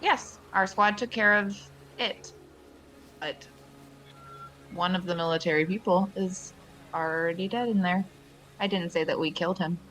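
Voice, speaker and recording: A woman playing a tabletop game in a blunt, matter-of-fact way. Her voice is low for a woman. Faint music plays behind her. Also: unhurried, long pauses, fairly bright tone, low-quality recording. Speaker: player.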